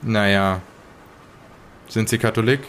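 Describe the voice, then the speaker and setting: A man playing a grim 1920s survivor of an occult ritual in an audio drama, telling it haltingly. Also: fairly low pitch, a bit bright, slight background noise. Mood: grave, matter-of-fact. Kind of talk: in-character audio drama retelling.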